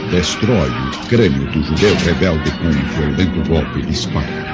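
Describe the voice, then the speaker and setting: A man's voice: rumbling low, a little slow. A man narrating a scripted audiobook in a dramatic style. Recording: dull lo-fi audio with music bed.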